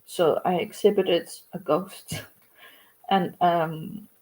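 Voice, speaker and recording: Slow, halting woman. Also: fairly low pitch, fairly even intonation, a little mellow, rough recording. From Ukraine. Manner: halting and reflective, many pauses and fillers. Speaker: artist. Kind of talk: livestream monologue.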